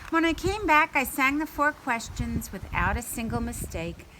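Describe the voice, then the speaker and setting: A woman reading a children's story aloud in an expressive manner, acting out the dialogue. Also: on the high side, moderate pace, a little hiss or hum, low-quality recording. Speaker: story reader.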